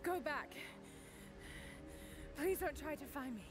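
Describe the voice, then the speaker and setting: An actress playing a determined, battered young woman adventurer in a video game, breathy and strained. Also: sits high, expressive, takes it slowly. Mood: weary, strained. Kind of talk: cinematic video game acting. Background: game music.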